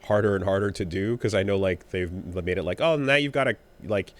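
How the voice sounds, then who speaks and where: Very deep-voiced man, halting speech. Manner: rambling, halting, with many restarts and fillers. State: winded after illness. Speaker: podcast co-host. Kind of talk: casual chat.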